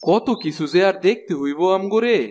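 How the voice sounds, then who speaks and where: A man's voice: high-pitched, expressive, brisk, darkish tone. A man playing an irritable, put-upon village husband in a cartoon, complaining in a rural eastern Bengali accent. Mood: worried. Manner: complaining, exclamatory. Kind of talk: animated cartoon dialogue.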